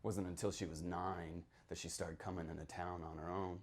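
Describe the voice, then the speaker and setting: A man performing a dramatic reading as a haunted, folksy small-town man who tells his tale in halting, rueful asides. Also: low voice, flat delivery. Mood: brooding, hushed. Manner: folksy, halting, rhetorical asides, swings between hush and outburst. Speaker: author reading from his novel. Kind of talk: theatrical dramatic reading, first-person monologue.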